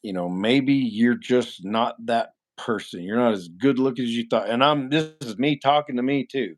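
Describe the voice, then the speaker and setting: A man in his forties with a nasal voice and an ordinary middle pitch talks in a loose, rambling way to his livestream chat. He is blunt and swears freely. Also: normal speed. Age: 40s. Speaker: livestream host answering chat. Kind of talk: casual live chat.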